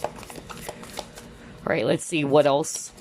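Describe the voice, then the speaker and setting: Low-voiced chatty woman tarot reader. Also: drawn-out words, slight background noise. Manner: chatty, checks in with tag questions; animated, exclaims often. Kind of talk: casual pick-a-card reading.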